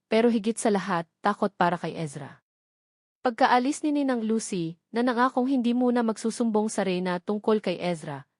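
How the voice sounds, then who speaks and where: A woman reads a romantic drama as an audiobook narrator. Her voice sits at a medium pitch, with dramatic scripted delivery. The recording is dry, with little room sound. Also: a little mellow, no room sound.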